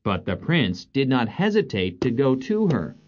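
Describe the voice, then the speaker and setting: A woman's voice: a bass voice, very animated, moderate pace, a little mellow. A woman narrating a scripted children's story as the storyteller. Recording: dull lo-fi audio, some noise.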